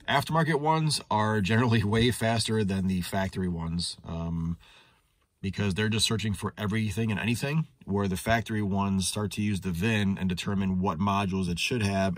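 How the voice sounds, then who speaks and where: A man with a low-pitched voice walks through a tool demonstration off the cuff. He sounds like a working technician, chatting conversationally and thinking aloud as he goes. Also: no room sound. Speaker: automotive technician reviewing a scan tool. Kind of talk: casual unscripted product demonstration. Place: inside a parked car in a shop.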